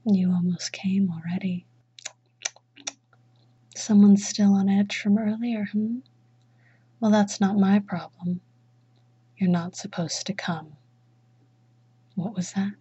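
Slow, breathy, whispering woman. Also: mid-range voice, warm tone, dull audio. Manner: commanding. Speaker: erotic audio roleplay performer. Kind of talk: dominant mistress roleplay.

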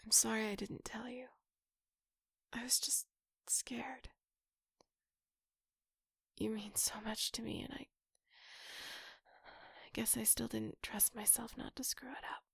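A woman performing an intimate audio roleplay in a soft, breathy whisper, close to the microphone. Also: fairly high pitch, little pitch movement, slow pace, long pauses, dry recording.

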